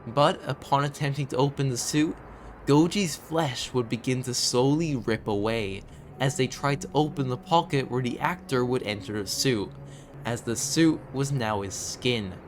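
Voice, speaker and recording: A man reading scripted commentary in a video essay, with measured storytelling broken by conversational asides. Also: medium pitch, a little hiss or hum. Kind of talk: scripted narration, horror series commentary. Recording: background music under voice. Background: background music.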